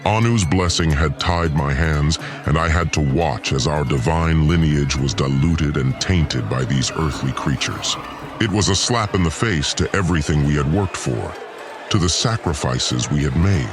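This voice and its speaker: A man's voice: extremely low pitch, moderate pace, music in the background. A man playing a vengeful, disdainful god lord in an audio drama, delivering a formal, pompous and menacing villain monologue. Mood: menacing, bitter. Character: vengeful, disdainful male god lord plotting against humans.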